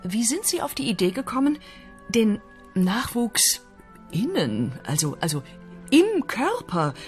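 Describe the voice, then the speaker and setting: A woman playing the brisk, commanding boss of a heavenly agency in a comic radio play. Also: a little high, sing-song, soft background music. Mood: curious, pleased. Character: bossy female boss of a heavenly design agency.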